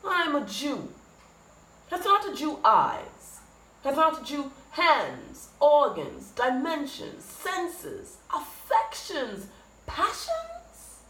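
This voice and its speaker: A woman's voice: sits high, huge pitch swings, slow, fairly bright tone, full character voice. Lady doing shakespeare merchant out for revenge, bitter n fiery, voice leaping around, echoey room. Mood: bitter, aggrieved. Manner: emphatic rhetorical questions, hammered lists of grievances. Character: vengeful wronged merchant, bitter and impassioned, pleading fiercely. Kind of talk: Shakespearean dramatic monologue. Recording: echoey room with faint noise.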